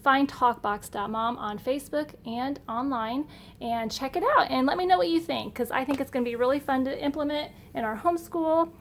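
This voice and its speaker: Female homeschool vlogger, middle-aged, slightly high voice, casual and upbeat; home recording with faint noise. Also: brisk. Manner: chatty, upbeat, enthusiastic. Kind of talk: casual unboxing narration. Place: indoor room at home.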